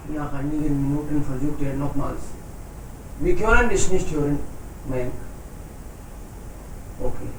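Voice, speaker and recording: A man's voice: fairly high pitch, background noise. A male German teacher running an online class, patiently calling on students one by one. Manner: slow, patient prompting. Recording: echoey video call audio from far off.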